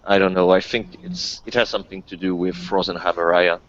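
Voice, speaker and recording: A man talking casually and tentatively as a player in a tabletop game session, halting as he goes. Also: low voice, steady tone, fairly bright tone. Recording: dull, lo-fi voice chat audio.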